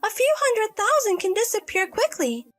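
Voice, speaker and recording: Woman acting a whiny, high-pitched selfish young mother. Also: big pitch swings, normal speed. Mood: airy, defensive. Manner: wheedling, petulant, defensive. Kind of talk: acted dialogue in a narrated drama story.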